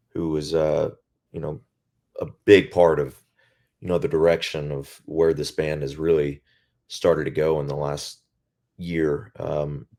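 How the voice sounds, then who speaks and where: A male singer with a naturally very deep voice, talking casually and reflectively in an interview, often pausing to find his words. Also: dark tone. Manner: reflective, searching for words with many pauses. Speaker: band singer as guest.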